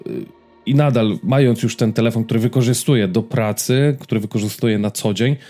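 A man's voice: mid-range voice, fairly even intonation, brisk, warm tone. A man co-hosting a podcast, chatting casually about tech and games. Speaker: podcast co-host. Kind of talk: casual tech and gaming chat.

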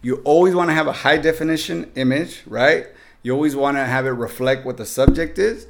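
A man's voice: mid-range voice, fairly quick, vocal fry, noisy background. A man with a Mexican accent, a podcast guest giving upbeat, joking tips straight to the listeners. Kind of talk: casual podcast chat.

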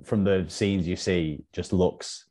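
A man's voice: sits low, steady tone, slow, mellow. A young man from North Wales, a podcast guest, talking casually and laughing at himself. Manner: laid-back, self-deprecating, laughs often. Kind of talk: casual chat.

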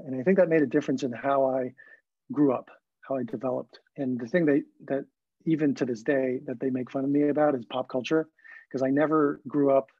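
A man in his forties with a husky, mid-pitched voice tells his own story as an interview guest. He grew up in Hong Kong and learned English as a child, and he speaks in a reflective, conversational way. The recording is a little dull. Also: a little mellow, no room sound. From Hong Kong, then the California Bay Area from age ten. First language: not English, learned it at about ten. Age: 40s.

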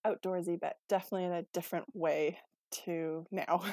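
Guest: young Canadian woman, relaxed and chatty, laughs easily, dry close mic. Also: lowish voice, moderate pace, no room sound. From Manitoba, Canada. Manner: chatty, laughs often, many ums. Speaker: podcast guest. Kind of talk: casual interview chat.

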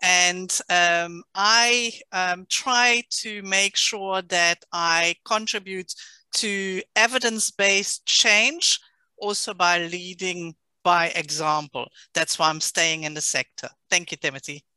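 A woman academic introducing herself on a panel, thoughtful and deliberate. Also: lowish voice, sharp tone, clear, resonant tone, no room sound, low-quality recording. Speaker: panelist, transport engineering academic.

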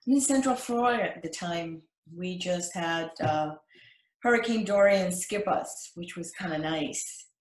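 An older woman from Cuba recounting her life as an interview guest, speaking in measured, halting phrases. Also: fairly low pitch. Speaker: interview guest, retired flight attendant. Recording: echoey, roomy sound.

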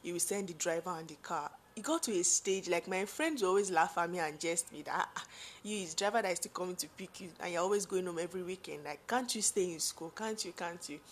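A young woman with a Nigerian accent and a deep, low-pitched voice talks straight to her viewers. She tells a personal story in a relaxed, rambling way, with lots of fillers and side remarks. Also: quick pace, a little hiss or hum. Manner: chatty, full of fillers and asides. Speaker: vlogger.

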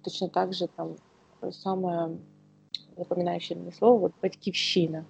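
A woman from Ukraine co-hosting a casual podcast, speaking in a halting, searching way. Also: fairly low pitch, level tone, vocal fry, a little mellow, breathy edge, low-quality recording. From Kyiv, Ukraine. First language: Russian. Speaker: podcast co-host.

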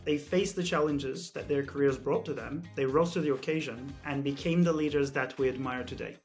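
A man's voice: mid-range voice, fairly quick, faint music behind. A man hosting a podcast interview, upbeat and chatty, asking personal questions. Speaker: podcast host.

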